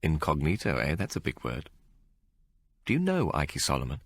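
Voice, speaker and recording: A man voicing a calm gentleman reporter. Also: a bass voice, normal speed, creaky, no room sound, heavily acted.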